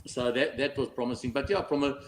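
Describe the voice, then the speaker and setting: A man's voice: mid-range voice, fairly even intonation, brisk, slight background noise. A South African man chatting casually as a betting guest, bantering and often ending on tag questions. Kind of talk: casual chat.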